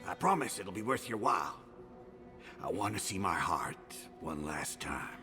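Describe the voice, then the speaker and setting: An actor playing a sick old braggart of a hero in a fantasy video game, rambling and wistful. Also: male voice, sits low, big pitch swings, unhurried. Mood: confiding, pleading. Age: older. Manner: rambling, boastful storytelling. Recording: music behind the voice.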